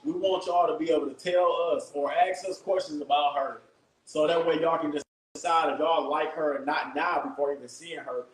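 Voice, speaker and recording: A man's voice: a little high, fairly even intonation, normal speed, echoey room, a little hiss or hum, poor audio. Hyped man hosting a livestream. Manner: loud, hyped, profane, keeps addressing viewers. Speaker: livestream host. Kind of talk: casual live-stream chat.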